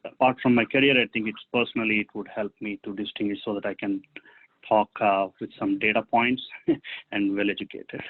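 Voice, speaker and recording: A man speaking off the cuff as a business webinar panelist, piecing his phrases together haltingly. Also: lowish voice, little pitch movement. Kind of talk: unscripted business panel talk. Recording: narrow tinny phone-like line.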